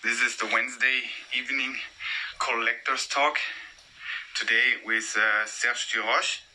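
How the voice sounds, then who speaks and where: Bright-voiced host on a video call. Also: male voice, medium pitch, steady tone, measured pace, a little hiss or hum. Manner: hesitant, frequent fillers. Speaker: host of collector talks. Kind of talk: live-stream interview hosting.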